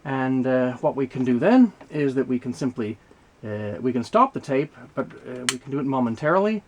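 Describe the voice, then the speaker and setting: A man giving an unscripted, hands-on technical demonstration as a video host, explaining things in a measured, methodical way. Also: medium pitch, expressive, dull audio. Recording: clear background noise, mechanical clicks.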